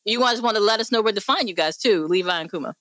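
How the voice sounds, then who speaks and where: Bright-voiced woman hosting a casual talk. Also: medium pitch, quick pace. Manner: effusive, appreciative. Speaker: host of a discussion show.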